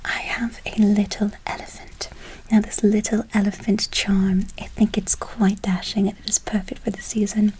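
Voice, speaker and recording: A woman playing a gentle, doting witch in a whispered ASMR role play, speaking affectionately and unhurriedly, often repeating herself. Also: mid-range voice, little pitch movement, moderate pace, few pauses, close to an everyday voice, rough recording. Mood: soothing, doting. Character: gentle, doting female witch casting good-luck spells. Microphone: close ASMR microphone.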